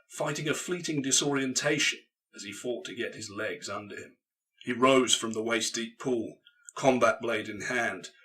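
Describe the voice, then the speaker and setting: A man narrates grimdark science fiction with dramatic intensity. His voice is fairly low, with a bright, hard-edged force, and sounds somewhat distant from the microphone. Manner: intense, theatrical delivery. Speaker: audiobook narrator.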